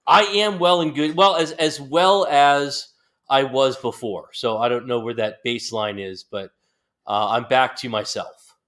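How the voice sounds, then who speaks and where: Bright-voiced American male podcast host. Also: medium pitch, measured pace. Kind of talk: casual interview chat.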